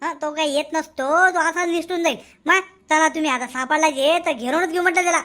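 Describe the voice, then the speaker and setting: A woman voicing an excitable, scheming village man in a comedy cartoon, insistent and repetitive. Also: high voice, brisk. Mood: scheming, excited. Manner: insistent, repeats phrases. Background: faint music.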